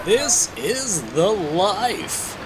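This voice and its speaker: A man's voice: high-pitched, expressive, drawn-out words, voice pushed up, heavily acted. A man voicing a laid-back, questioning adventurer hero in a comic book dramatic reading. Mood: relaxed. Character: laid-back shirtless adventurer hero, puzzled then curious. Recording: clear background noise.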